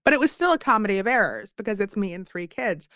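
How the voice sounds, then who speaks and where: A chatty woman in her thirties tells her stories with self-deprecating humor. Her voice is smooth and clear, and it sits dry and close to the mic. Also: mid-range voice, big pitch swings, fast, fairly bright tone, dry recording. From Philadelphia. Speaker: author guest. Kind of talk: casual podcast interview.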